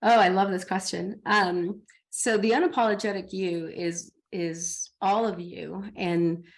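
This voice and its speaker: A middle-aged woman coach talking in a podcast interview, animated, acting out her own inner dialogue. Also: on the low side, measured pace.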